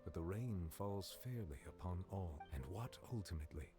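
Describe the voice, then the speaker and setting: A man playing a solemn, dignified high-ranking game character who speaks formally, deliberately and eloquently. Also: expressive. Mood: solemn, reflective. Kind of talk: scripted video game dialogue. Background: game music.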